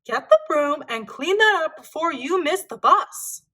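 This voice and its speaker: A woman voicing a hurried, scolding mother with a brisk, sharp manner in a storybook. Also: high-pitched, lively intonation, voice pushed up, full character voice. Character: hurried, scolding mother of two young children.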